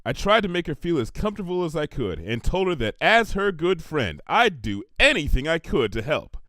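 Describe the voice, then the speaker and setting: Studious cartoon girl pony voiced by a male reader: weary, precise, well-meaning, lightly raised pitch. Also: big pitch swings, normal speed, sharp tone, dry recording, clean studio sound. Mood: concerned. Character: bookish, exasperated, well-meaning young female pony.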